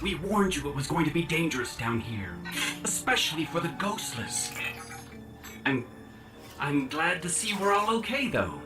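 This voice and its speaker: An actor playing a polite, nervous, kindly little robot companion in a video game, earnest and courteous. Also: male voice, on the high side, very animated, moderate pace, distant mic, over music, rough recording. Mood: friendly, relieved. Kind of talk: video game dialogue acting.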